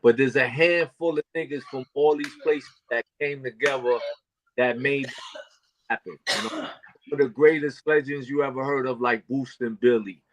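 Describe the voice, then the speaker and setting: A man in his fifties from Brooklyn telling stories as a podcast guest, animated and full of street slang. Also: medium pitch, moderate pace, no room sound, poor audio. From Brooklyn, New York. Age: 50s. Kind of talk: casual storytelling.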